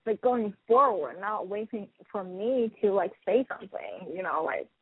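A woman in her twenties, calling in to a radio show, talking in a shy, hesitant, halting way. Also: medium pitch, very animated, slightly rough, dry recording. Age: 20s. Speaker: caller. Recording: tinny phone line.

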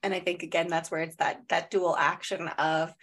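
A woman in her thirties talks through a casual podcast chat in a slightly low voice. She leans on a lot of "like" fillers and comes out in quick bursts. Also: level tone, fairly bright tone. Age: 30s. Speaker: podcast host.

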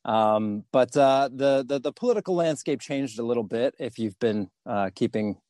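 A man speaking as a podcast guest about his career and fundraising, pausing often to restart his sentences. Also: mid-range voice, warm tone, dry recording, clean studio sound. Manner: halting, many fillers and restarts. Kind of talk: podcast interview.

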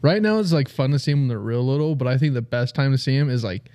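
A man co-hosting a casual podcast, telling stories in a relaxed, easygoing way. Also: medium pitch, fast talker. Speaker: podcast co-host. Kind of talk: casual chat.

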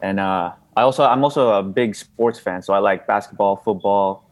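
A young man from the Philippines whose first language is Bisaya, answering interview questions in a soft, hesitant way with many fillers. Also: on the low side, moderate pace, a little hiss or hum. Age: young adult. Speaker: boxing prospect guest.

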